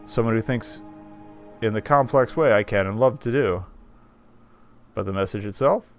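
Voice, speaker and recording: Low-voiced man reading over game music. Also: normal speed, clean tone. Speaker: game streamer. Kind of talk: reading game text aloud with casual commentary.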